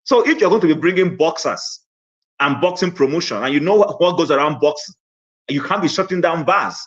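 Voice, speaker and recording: A man calling in to a broadcast, laying out his view in a measured way with some hesitant fillers. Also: a little high, lively intonation. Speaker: caller. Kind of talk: call-in contribution. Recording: lo-fi online call audio.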